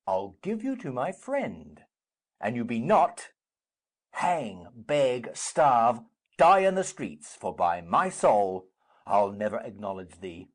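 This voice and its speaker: A man playing a furious, domineering father in a Shakespearean dramatic reading, with emphatic, halting delivery. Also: mid-range voice. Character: furious domineering father threatening to disown his daughter.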